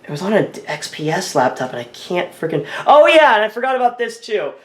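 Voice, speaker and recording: A man's voice: high-pitched, sing-song, fairly quick. Rambling man in an echoey room. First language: English. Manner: rambling, self-correcting, thinking aloud. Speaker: tech video creator. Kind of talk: casual screen-recording commentary.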